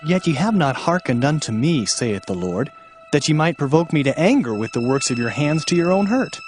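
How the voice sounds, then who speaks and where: Warning prophet, scripture drama: higher-pitched, very animated, solemn, declamatory. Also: male voice, normal speed, music in the background. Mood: reproachful, stern.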